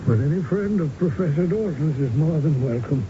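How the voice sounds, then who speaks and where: An actor playing an ailing, genial but sardonic old American husband in a radio drama, pompous and hesitant, clearing his throat. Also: fairly high pitch, lively intonation, moderate pace, lightly acted. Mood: genial, chuckling. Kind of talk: 1970s radio drama acting. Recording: noisy, muffled old radio broadcast recording.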